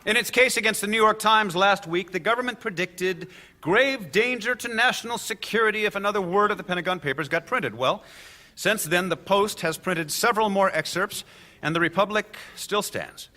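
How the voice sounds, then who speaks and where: A man's voice: sits high, normal speed, bright, forceful tone. A man playing a confident, wry defense lawyer in a courtroom radio drama, formal and articulate.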